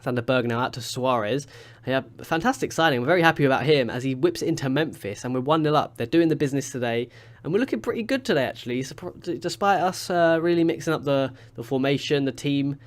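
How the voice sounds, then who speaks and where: A man's voice: medium pitch, fast. A man with a stuffy, blocked-up voice from a cold, chatting casually and rambling as he comments on his football management game. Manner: chatty, rambling with fillers and restarts. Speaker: gaming YouTuber. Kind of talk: casual let's-play commentary.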